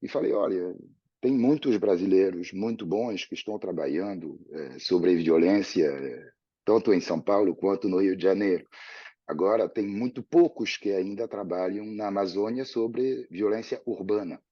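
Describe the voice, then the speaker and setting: A man's voice: low-pitched, normal speed, breathy edge, no echo, lo-fi sound. A French man in his fifties, a guest telling his story in a reflective, conversational way with frequent restarts. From France. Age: 50s. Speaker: interview guest, professor. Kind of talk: relaxed conversational interview.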